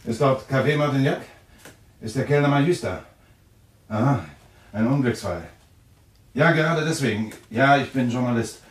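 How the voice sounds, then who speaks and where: An actor playing a skeptical, controlling journalist in a German radio thriller, with a deliberate, sardonic, interrogating manner. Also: male voice, medium pitch, close to an everyday voice. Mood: brisk, businesslike. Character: skeptical, controlling male journalist, suspicious husband.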